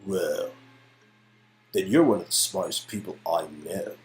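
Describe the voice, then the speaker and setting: A man's voice: low-pitched, a little slow, darkish tone, soft background music. A man playing a teasing young date in a dramatic reading of an interactive story game. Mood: curious. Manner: teasing, mock-surprised.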